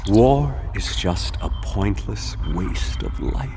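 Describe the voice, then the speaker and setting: An actor playing a principled starship doctor in a game, articulate, earnest and emphatic. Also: male voice, on the low side, takes it slowly, mellow, slight background noise. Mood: grave, indignant. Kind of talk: scripted video game dialogue.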